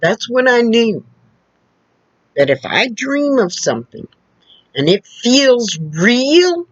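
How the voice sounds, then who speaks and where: Slow, lively-voiced woman telling stories. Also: low voice, dry recording. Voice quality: loud, carrying voice. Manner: slow, halting, with restarts and asides. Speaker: storyteller. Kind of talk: casual personal storytelling. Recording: dull lo-fi recording.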